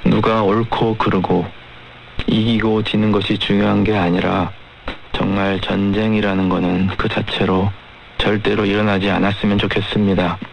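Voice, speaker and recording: Low, gravelly man on shortwave radio. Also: level tone, moderate pace. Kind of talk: measured radio discussion. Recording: faintly noisy shortwave radio broadcast.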